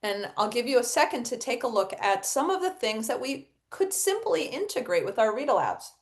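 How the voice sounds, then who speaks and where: A middle-aged woman presents an educational webinar in a fairly high voice. Her pitch moves in a lively, expressive way as she explains her points, often asking a question and then answering it. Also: normal speed. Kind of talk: instructional webinar presentation with acted read-aloud examples.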